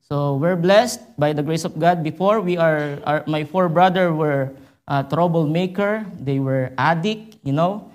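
A man from the Philippines with a Filipino accent, giving a halting church report as a visiting missionary, full of fillers and restarts. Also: a little high. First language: Filipino language. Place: church sanctuary.

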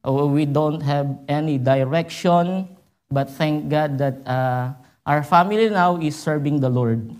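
A man from the Philippines with a Filipino accent, giving a halting church report as a visiting missionary, full of fillers and restarts. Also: a little high, darkish tone. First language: Filipino language. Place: church sanctuary.

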